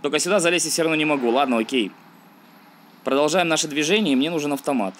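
A man's voice: fairly high pitch, fast talker, a bit bright. Man doing casual gameplay commentary over noise. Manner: slangy, thinking aloud, grumbling. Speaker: gaming video host. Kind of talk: casual let's-play commentary.

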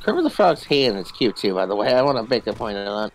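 Man, lively intonation, easygoing joking co-op game chat, faint game noise behind. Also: mid-range voice, quick pace, rough recording. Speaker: gamer playing co-op. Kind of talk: casual gaming chat. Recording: background game noise, ambience.

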